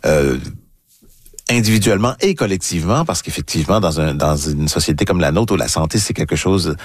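A man in his fifties in a radio studio, speaking thoughtfully and telling anecdotes in a relaxed conversation. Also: low voice, brisk, slightly rough. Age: 50s. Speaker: radio commentator and writer. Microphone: studio microphone.

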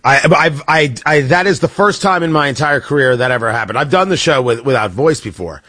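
A man's voice: medium pitch, lively intonation, a little fast, pushed and bright, no echo, studio quality. A man hosting a morning radio show, talking in a joking, teasing, chatty way. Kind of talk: casual morning radio banter.

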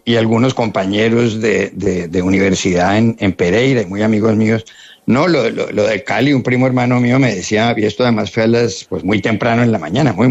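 A Colombian man talks in a fairly low voice, stumbling and repeating words as he explains. His voice comes through a dull, lo-fi phone line. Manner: hesitant, repeats words often. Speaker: journalist guest. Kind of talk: radio interview.

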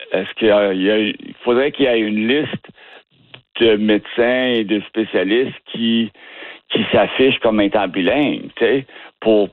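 A man's voice: lowish voice, no room sound. Hesitant man on a dry phone line. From Ottawa region, Canada. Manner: hesitant, many fillers and restarts. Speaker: interviewee. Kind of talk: casual interview answers.

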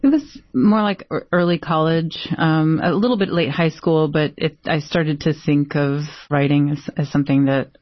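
A Brazilian-born woman poet answering interview questions thoughtfully, with many pauses and fillers. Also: low voice, no echo. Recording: dull, dry lo-fi sound.

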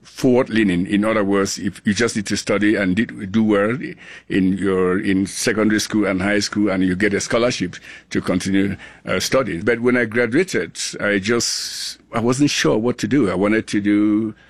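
A man's voice: lowish voice, steady tone, no echo. A man in his sixties from Cameroon, a global health scientist, speaking earnestly in an interview with many restarts. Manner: earnest, halting with frequent restarts. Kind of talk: conversational interview.

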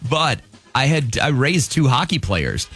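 A man's voice: mid-range voice, big pitch swings, unhurried, a bit bright, breathy edge, soft background music. A man in his fifties co-hosting a morning radio show, chatting casually and laughing easily. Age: 50s. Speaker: radio co-host.

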